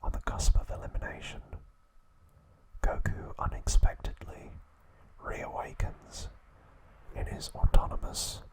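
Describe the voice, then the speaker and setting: A man narrating trivia as an ASMR host in a breathy, hushed whisper, in short measured phrases. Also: rough recording.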